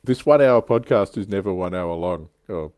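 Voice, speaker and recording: A man's voice: deep voice, animated, a little fast, darkish tone, no echo. A man with an Australian accent hosting a casual livestream panel chat. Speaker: host of a livestream.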